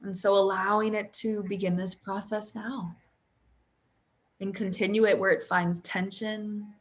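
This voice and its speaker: Woman, meditation guide, unhurried and hushed, gentle delivery that sinks toward a whisper, mid-range pitch. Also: flat delivery. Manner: slow, soothing and soft-spoken, often near a whisper.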